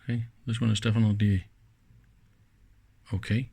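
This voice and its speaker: A man's voice: lowish voice, little pitch movement, normal speed, vocal fry, warm tone, husky. A man giving casual, unscripted hands-on commentary as an electronics hobbyist, musing aloud in short exclamations. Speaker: electronics hobbyist presenting a teardown.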